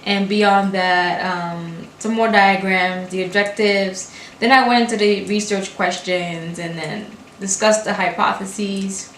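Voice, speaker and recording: Young woman, 20s, from Brooklyn, English not her first language; rapid run-on vlog talk with restarts, echoey room. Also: mid-range voice, steady tone, fairly bright tone. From Brooklyn, New York. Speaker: graduate student vlogger. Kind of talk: casual talk to camera. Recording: echoey room with faint hiss.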